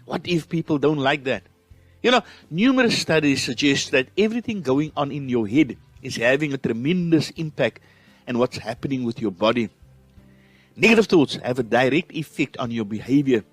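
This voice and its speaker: An earnest South African man hosts a self-help podcast, talking listeners through his points in an encouraging, reflective way. His voice sits at an everyday pitch and is recorded dry and close. Soft music plays faintly under him. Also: lively intonation, normal speed, no room sound. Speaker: podcast host. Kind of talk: motivational podcast talk.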